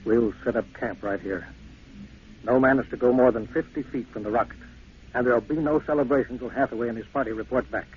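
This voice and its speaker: An actor playing a stern, cautious spaceship captain in a 1950s radio drama, with a clipped, commanding manner. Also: male voice, medium pitch, fast, close to an everyday voice. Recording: noisy, narrow-band 1950s radio recording.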